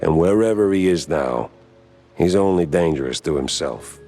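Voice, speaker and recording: An actor playing a weary, wisecracking mouse private eye in a noir cartoon game, with a sardonic, slangy delivery. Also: male voice, sits low, animated, normal speed, dark tone, faint music behind. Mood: dry, uneasy. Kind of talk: 1930s noir cartoon detective acting.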